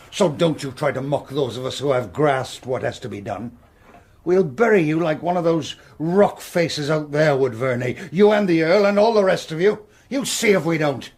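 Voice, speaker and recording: An actor playing a proud, hostile industrialist landowner in a period radio drama, hectoring and contemptuous. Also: male voice, a little high. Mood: threatening. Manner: hectoring, scornful.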